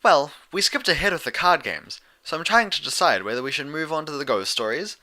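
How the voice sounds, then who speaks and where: A man's voice: fairly high pitch, lively intonation, fairly quick, sharp tone, voice pushed up. A man voicing a studious, fussy young female unicorn pony with precise, wordy phrasing in a story reading. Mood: earnest, defensive.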